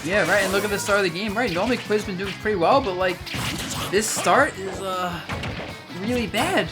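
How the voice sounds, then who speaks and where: A woman's voice: mid-range voice, normal speed, music in the background. A woman commentating a fighting game match live, giving eager play-by-play analysis. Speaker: esports commentator.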